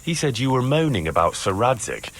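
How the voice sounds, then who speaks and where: A man's voice: mid-range voice, big pitch swings, brisk, fairly bright tone. An actor playing a blunt, wary young man with a dryly sardonic manner in a video game. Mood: firm, wary. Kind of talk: acted video game cutscene dialogue.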